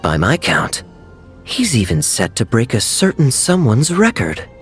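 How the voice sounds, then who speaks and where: An actor playing a suave, teasing adult male knight in a game, smooth and given to chuckling. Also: medium pitch, wildly swooping pitch, measured pace, faint music behind. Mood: wry. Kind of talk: game cutscene voice acting.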